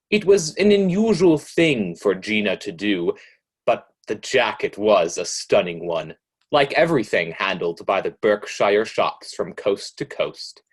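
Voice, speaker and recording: A man acts out a shadowy storyteller of dark tales for a radio suspense play. His voice sits low and he takes his time, deliberate and ominous with every phrase. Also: husky, full character voice. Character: mysterious, ominous all-knowing male narrator of dark tales.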